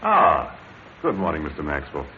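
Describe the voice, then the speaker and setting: An actor playing a courteous but firm police inspector in a vintage radio drama. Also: male voice, a bass voice, wildly swooping pitch, exaggerated voice. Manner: courteous, measured, quietly stern. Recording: tinny noisy old radio broadcast.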